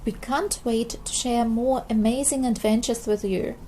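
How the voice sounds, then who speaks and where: A woman narrating a children's storybook in a scripted, expressive read-aloud style for very young listeners. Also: a little high, a little hiss or hum, poor audio. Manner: slow, expressive delivery for small children.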